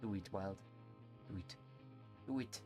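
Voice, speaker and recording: A man's voice: sits low, unhurried, mellow. A man chatting casually and jokingly as co-host of a gaming live stream. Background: music.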